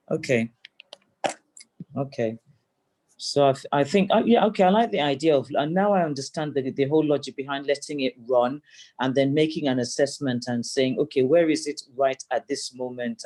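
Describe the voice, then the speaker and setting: A man's voice: medium pitch, a little mellow. A man learning to trade, chatting casually and agreeably with his mentor. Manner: agreeable, says yeah a lot. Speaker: student trader. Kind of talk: casual chat.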